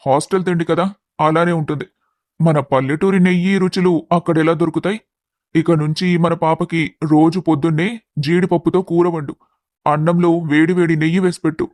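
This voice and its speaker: Male actor as anxious village dad, middle-aged, affectionate, slightly high voice, dry recording, moral story drama. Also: a little mellow, no echo, natural voice. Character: doting, anxious village father, rice mill owner.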